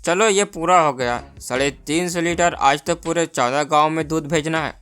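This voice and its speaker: Low-pitched earnest village milkman acted by a woman. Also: moderate pace, fairly bright tone, clear, resonant tone, faint music behind. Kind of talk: Hindi moral-story cartoon dub.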